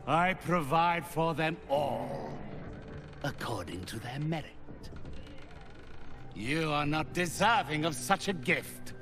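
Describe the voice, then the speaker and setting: An actor playing a haughty, cold, mercenary necromancer in a fantasy game, speaking slowly with theatrical condescension. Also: male voice, a little high, animated, fairly bright tone, faint music behind, full character voice. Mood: haughty, ominous. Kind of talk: cinematic fantasy game dialogue.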